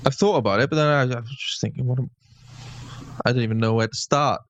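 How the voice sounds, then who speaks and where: Man, Swansea Welsh accent, easygoing casual chat, halting restarts, slightly dull sound. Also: medium pitch, a little hiss or hum. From Wales. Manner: easygoing, hesitant with repeated restarts. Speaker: guest guitarist.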